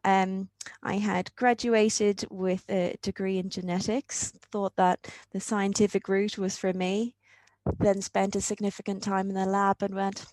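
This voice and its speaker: A woman's voice: mid-range voice, no echo. A woman in her thirties with an Irish accent, a charity leader talking through her career as an interview guest, thoughtful and full of ums. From Ireland. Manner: thoughtful, with frequent ums and restarts. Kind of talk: conversational interview.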